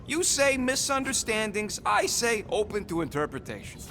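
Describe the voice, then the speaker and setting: An actor playing a small, irritable, cocky bounty hunter creature in a video game, with brash, slangy street talk. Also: male voice, sits high, big pitch swings, moderate pace, pushed and bright, a little hiss or hum, heavily acted. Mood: grudging, boastful.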